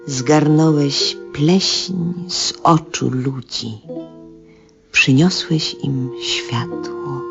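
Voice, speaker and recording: An actress playing a devoted, idealistic woman in a 1970s radio drama, speaking solemnly, tenderly and lyrically. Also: low voice. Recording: dull vintage radio recording. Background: music.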